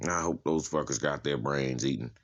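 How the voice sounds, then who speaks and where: A man's voice: very deep voice, normal speed. A man playing a tough, streetwise prison inmate in a comic-book drama, talking in a casual, slangy, profane way. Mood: wry, matter-of-fact. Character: tough, streetwise adult male prison inmate giving a tour.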